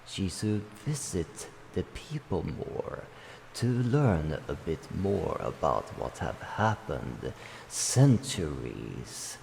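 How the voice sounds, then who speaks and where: A man voicing an ancient dragon guardian, solemn, calm and kindly, speaking slowly in formal, measured phrases in a roleplay addressed to the listener. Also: heavily acted. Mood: reflective, solemn. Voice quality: deep, gravelly. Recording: long cavernous reverb, faint background noise.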